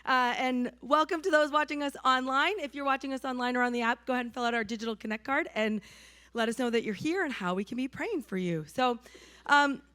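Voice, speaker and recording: A hoarse woman from New Hampshire preaching a sermon to her church in a conversational way, often checking in with the congregation. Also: high-pitched, brisk, sharp tone, no echo, clean studio sound. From New Hampshire, USA. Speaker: pastor.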